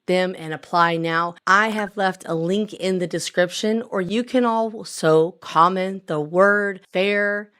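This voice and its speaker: A woman in her thirties, a credit coach, explaining points one by one in an upbeat pitch while a bit hoarse from recovering her voice. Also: slow, few pauses, fairly bright tone. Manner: upbeat sales-pitch delivery, lists points by number. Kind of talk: direct-to-camera explainer.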